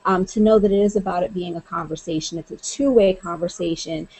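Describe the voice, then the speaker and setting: A woman's voice: fairly low pitch, brisk, mellow, poor audio. A woman who works at a college, chatting earnestly about a social media guide, with frequent fillers like "you know" and "um". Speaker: college staff member, guest. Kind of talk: conversational discussion.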